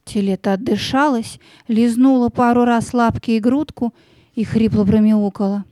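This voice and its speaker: Soft-toned, high-voiced woman storyteller. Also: normal speed, no echo. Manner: expressive, theatrical storytelling. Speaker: narrator. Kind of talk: scripted fairy-tale narration for an audio play.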